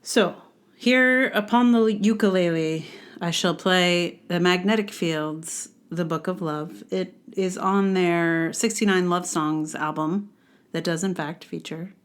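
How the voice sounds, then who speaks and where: A woman hosting a podcast alone, chatting casually in a wry, rambling way as if thinking aloud. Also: on the low side. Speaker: podcast host. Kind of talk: casual solo podcast talk.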